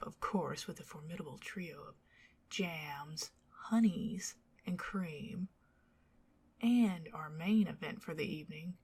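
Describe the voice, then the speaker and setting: A woman playing a bewitching older vampire lady in a whispered ASMR roleplay, doting and fussy, with arch, theatrical, flowery phrasing and a breathy, husky voice. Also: on the low side, a little mellow, exaggerated voice. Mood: theatrical, pleased.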